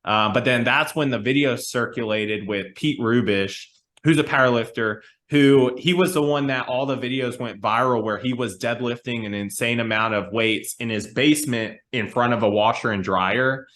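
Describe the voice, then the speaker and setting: A man's voice: medium pitch, moderate pace, fairly bright tone. A man hosting a casual podcast conversation, talking in a rambling, reflective storytelling way. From small town. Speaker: podcast host.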